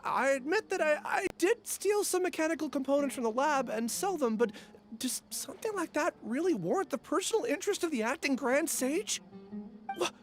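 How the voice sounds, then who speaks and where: An actor playing a nervous, cowardly male researcher in a video game, stammering and flustered. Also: very high-pitched. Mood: panicked, pleading. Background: faint music.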